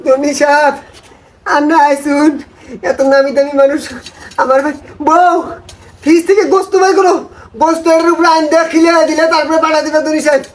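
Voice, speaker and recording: A woman's voice: high voice, steady tone, fairly quick, close to an everyday voice. A woman playing a sly male village swindler in a comic skit, with a rural Bangladeshi accent and a pleading, melodramatic delivery. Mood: wheedling. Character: sly village swindler man, whining and wheedling.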